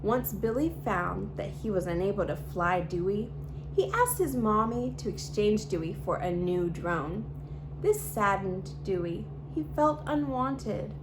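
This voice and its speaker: Storytime host, woman, higher voice, expressive read-aloud, steady hum under the track. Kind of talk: reading a picture book aloud to kids. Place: home library.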